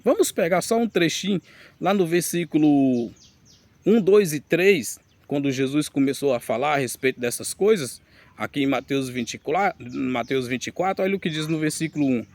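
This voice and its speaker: Gravelly preacher man. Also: a little high, lively intonation, normal speed, darkish tone, dry recording. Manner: addresses listeners directly, reads scripture aloud, emphatic. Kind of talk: teaching sermon with Bible reading.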